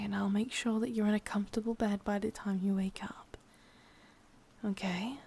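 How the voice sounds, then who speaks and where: A woman acts out a sadistic, doting witch in an ASMR roleplay, with an English accent. The voice is mostly a breathy whisper, creaking at the edges and unhurried. She coaxes and commands softly, intimate and possessive. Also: mid-range voice, full character voice. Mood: tender, coaxing.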